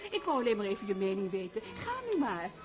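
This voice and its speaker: An actress playing a gushing, meddling aristocratic hostess in a radio drama, effusive, coaxing and patronising. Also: female voice, medium pitch, sing-song, quick pace, music in the background, lightly acted.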